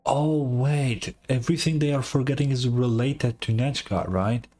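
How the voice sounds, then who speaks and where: Female streamer, strikingly low pitch, offhand live commentary, understated, music in the background. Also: moderate pace, dark tone. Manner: low-key, brief interjections. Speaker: streamer reacting to a game. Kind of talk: casual live reaction commentary. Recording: game music behind.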